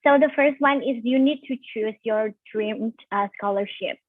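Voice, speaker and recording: A woman's voice: on the high side, moderate pace, dry recording. Young Indonesian woman on a tinny call. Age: 20s. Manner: hesitant, many ums and restarts, switches between English and Indonesian. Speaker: webinar speaker. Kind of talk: casual online presentation. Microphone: video call. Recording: tinny phone-like call audio.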